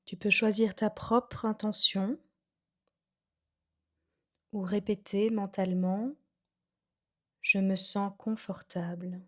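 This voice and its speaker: A woman guiding a relaxation session as a yoga nidra instructor, soothing and unhurried, with phrases that trail off softly. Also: mid-range voice, level tone. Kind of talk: guided meditation, calm instruction.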